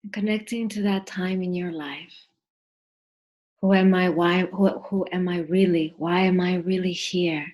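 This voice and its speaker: Husky, low-voiced woman guiding a meditation. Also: fairly even intonation, a little slow, darkish tone. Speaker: meditation guide. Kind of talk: guided meditation, spoken slowly with pauses.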